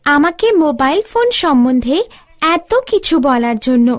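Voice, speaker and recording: A curious, polite young boy from an educational cartoon, played by a woman. The voice sits very high, and the scripted lines are asked and answered with good manners. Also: animated, moderate pace.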